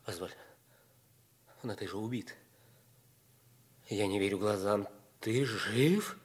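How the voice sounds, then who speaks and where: An actor playing a cowardly, treacherous officer in an old theatrical radio drama, with a drawn-out, insinuating delivery. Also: male voice, fairly low pitch, slightly rough. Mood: startled disbelief. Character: cowardly, treacherous former schoolmate, a whining wounded officer. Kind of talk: 1950s Soviet theatrical radio drama acting. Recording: noisy old radio play recording.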